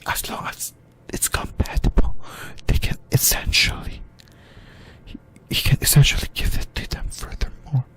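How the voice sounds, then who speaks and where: Someone whispering in a breathy, unvoiced voice, giving an informal ASMR-style fan discussion of anime with some mild swearing. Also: moderate pace, fairly bright tone. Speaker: YouTuber, host of an anime fan channel.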